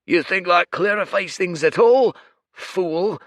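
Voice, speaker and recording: A man voicing a giant furious male spirit of rage who booms in a gravelly, hoarse, raised voice. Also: takes it slowly, no echo. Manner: booming, shouting.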